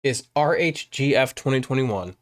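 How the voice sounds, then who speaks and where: A man in his late twenties chatting casually in a vlog, rambling and jumping up in pitch when excited, filmed on a phone at home. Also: moderate pace. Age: 20s. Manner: rambling, animated, swings high when excited. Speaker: vlogger. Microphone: phone camera.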